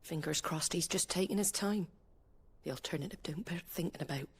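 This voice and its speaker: A woman's voice: on the low side, brisk, slightly rough. A woman playing a wary, plain-spoken landlady in a fantasy game, with a working-class regional English accent and a blunt, folksy manner. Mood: matter-of-fact, worried. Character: wary, plain-spoken innkeeper landlady, no-nonsense but helpful. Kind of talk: dramatic video game cutscene acting.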